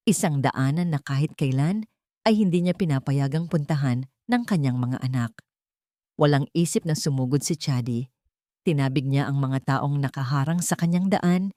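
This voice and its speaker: A woman's voice: low voice, darkish tone, no echo, clean studio sound. A woman with a Filipino accent reading scripted drama as a storyteller, in an emotive, suspenseful manner. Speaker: story narrator. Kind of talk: dramatic scripted narration of a Tagalog romance drama.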